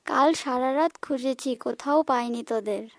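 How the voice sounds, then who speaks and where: High-voiced anxious mother bird played by a woman. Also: moderate pace, no echo. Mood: weary worry. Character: worried, helpless mother bird searching for her chicks. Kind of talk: Bengali moral cartoon dialogue.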